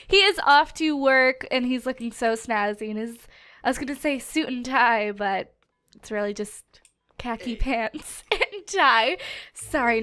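Bubbly high-voiced woman, stuffed-up nose. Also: a bit bright. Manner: bubbly, chatty, giggly asides. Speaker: let's play host. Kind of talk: casual gameplay commentary.

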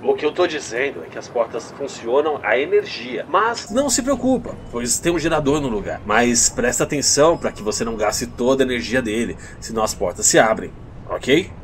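A man acts out a shifty pizzeria boss in a dubbed horror film, speaking with a Brazilian accent. His delivery is breezy and reassuring with hesitant fillers, and the pitch moves around a lot. A faint rumbling noise sits behind the voice. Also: sing-song, fairly quick, lightly acted. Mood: reassuring, evasive. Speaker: voice actor in a fan dub.

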